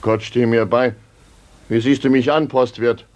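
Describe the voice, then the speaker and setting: A man's voice: mid-range voice, fairly even intonation, a little slow, noisy background, natural voice. An actor playing a blunt, worried coachman to a count in a 1950s radio play. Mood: insistent.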